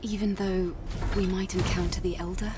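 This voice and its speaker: A woman's voice: mid-range voice, level tone, normal speed, far from the mic, natural voice. An actress playing a determined, guarded young warrior woman in a video game, terse and serious, heard slightly back under music. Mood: guarded, resolute. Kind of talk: dramatic video game acting. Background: prominent music.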